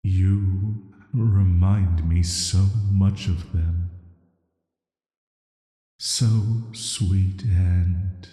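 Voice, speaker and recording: A man plays an ancient banished villain, intimate and quietly dangerous, in an atmospheric sleep-aid roleplay. His voice is very low, dark and soft. He speaks in a flat, deliberate, flirty way, surrounded by echo. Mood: wistful, bittersweet. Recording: echoey voice with long reverb.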